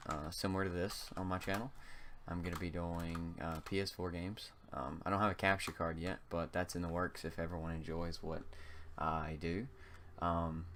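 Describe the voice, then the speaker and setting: A young man from North Carolina with a slight North Carolina Southern accent, casually commenting on his gameplay in a halting way, full of um and uh fillers. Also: low-pitched, a bit bright, a little hiss or hum. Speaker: gaming channel host.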